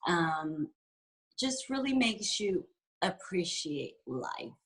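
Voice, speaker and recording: A woman's voice: lowish voice, big pitch swings, slow. A woman in her forties from San Francisco, talking candidly and reflectively as an interview guest, with frequent fillers. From San Francisco, USA. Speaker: guest executive and entrepreneur. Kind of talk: conversational interview.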